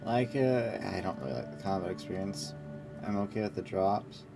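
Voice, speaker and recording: A man's voice: lowish voice, moderate pace. A man streaming a game, chatting casually and thinking aloud. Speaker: game livestreamer. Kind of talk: casual chat while gaming. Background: music.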